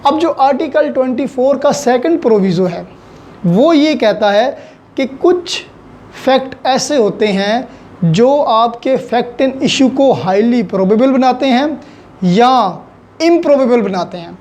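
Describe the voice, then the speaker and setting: Slow, deliberate Pakistani law lecturer. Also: medium pitch, lively intonation, a little mellow. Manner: deliberate, halting phrasing, switches into English legal terms. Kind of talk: explanatory lecture with examples.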